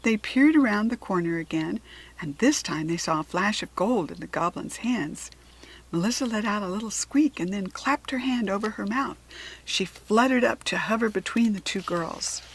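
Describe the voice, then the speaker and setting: Husky American woman reading a storybook. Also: mid-range voice, lively intonation, moderate pace, poor audio. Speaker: storybook reader. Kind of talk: expressive children's storybook narration.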